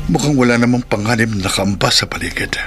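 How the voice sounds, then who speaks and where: A man's voice: medium pitch, fast, fairly bright tone, faint music behind, close to an everyday voice. An actor playing a frightened male taxi driver in a horror radio drama, stammering and talking to himself. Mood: uneasy, wary. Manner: stammering, breathless, self-narrating.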